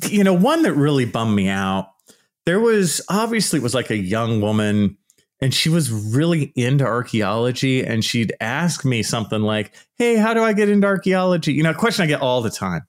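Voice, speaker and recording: Loud, animated man ranting casually. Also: medium pitch, moderate pace. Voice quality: naturally loud, shouty voice. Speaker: archaeology YouTuber. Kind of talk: casual vlog commentary.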